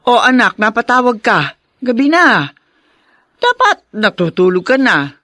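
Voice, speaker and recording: Concerned Filipino mother, high bright voice, gently scolding, radio play. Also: very animated, measured pace, no room sound, clean studio sound, full character voice. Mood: fussing, concerned. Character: caring, fussing mother of a pregnant daughter. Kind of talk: Filipino radio drama acting.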